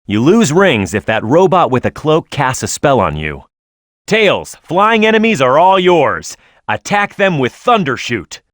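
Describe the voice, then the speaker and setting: A man's voice: fairly high pitch, very animated, measured pace, fairly bright tone, dry recording, studio quality. A man voicing a tough, hot-headed echidna brawler in a video game, confident and brash, with punchy, emphatic delivery. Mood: instructive, firm. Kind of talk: video game voice acting, tutorial hints and battle shouts.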